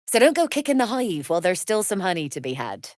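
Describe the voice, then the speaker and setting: Shrewd smuggler-merchant woman, video game: wry, businesslike, amoral. Expressive pitch movement, dry studio-close sound. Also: fast talker, no room sound. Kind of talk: video game character acting.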